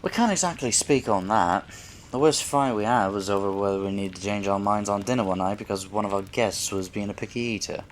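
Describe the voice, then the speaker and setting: A man playing a kindly, fatherly businessman husband in a roleplay audio drama, speaking in a measured, frank, gently probing way. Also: fairly low pitch, a little hiss or hum, lightly acted. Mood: mildly exasperated.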